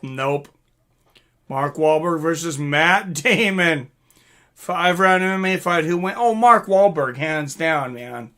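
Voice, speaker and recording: A man with a fairly high, very bright and forceful voice hosts a casual live stream. He talks informally and loosely, drifting between viewer comments and his own replies, with some mild swearing. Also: lively intonation, slow pace. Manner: informal, mildly profane, rambling.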